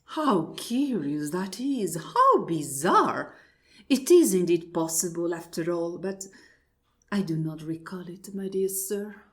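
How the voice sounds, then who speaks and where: A woman's voice: on the low side, animated, takes it slowly. A woman playing a genteel, wonderstruck married lady in an absurdist radio play, formal and exclamatory, repeating her polite phrases. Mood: mild astonishment. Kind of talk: absurdist stage dialogue played as radio drama.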